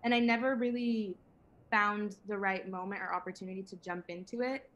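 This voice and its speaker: A woman's voice: medium pitch, steady tone, moderate pace, a bit bright, lo-fi sound. An eighteen-year-old woman from Venezuela, a singer-songwriter guest chatting in an interview, laughing often and talking with many fillers and restarts. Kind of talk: casual interview chat.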